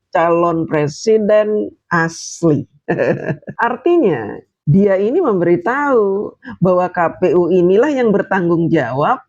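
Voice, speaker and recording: A man's voice: sits high, a little mellow, dry recording, muffled recording. A man giving scornful political commentary over video clips, mocking his opponents.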